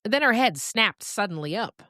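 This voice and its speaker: A woman narrator reading a scripted story in a deliberate, dramatic storytelling manner. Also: medium pitch, lively intonation, pushed and bright, dry recording, clean studio sound.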